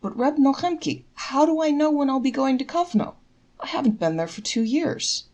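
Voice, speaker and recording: Woman reading as a puzzled, higher-pitched young father. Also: expressive, moderate pace, darkish tone. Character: puzzled, cash-strapped young father, respectful villager. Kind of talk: storybook character voice in a read-aloud folktale.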